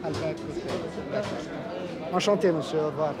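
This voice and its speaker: A man's voice: on the high side, unhurried, soft background music. A man doing a casual street interview, asking brief polite questions and repeating the answers, outdoors on a busy street. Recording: loud street crowd behind.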